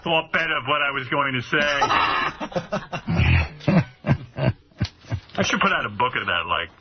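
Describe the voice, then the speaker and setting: A man's voice: mid-range voice, big pitch swings, a little slow. A man hosting a radio show, bantering in a wry, joking way. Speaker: radio host. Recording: dull radio audio with background noise.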